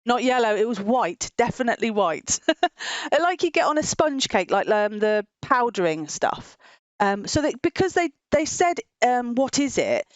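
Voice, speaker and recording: A woman with a fairly high voice tells a children's story in an informal way. She explains things in simple words and repeats points as she goes. The sound is dry and close. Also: no room sound, highs cut off. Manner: simple explanatory phrasing with frequent repetition and chuckles. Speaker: children's Bible story presenter.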